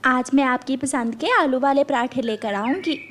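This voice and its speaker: A woman voicing a caring, gently chiding mother bird in a cartoon, affectionate and reassuring. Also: high voice, normal speed, a little hiss or hum. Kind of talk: cartoon voice acting.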